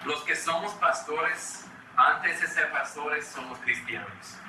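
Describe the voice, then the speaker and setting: Fast-talking American man in an echoey hall. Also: a little high, pushed and bright, a little hiss or hum. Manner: fast-talking, frequent restarts and repeated words. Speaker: children's ministry trainer. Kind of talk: training talk to church workers. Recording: echoey room from far off.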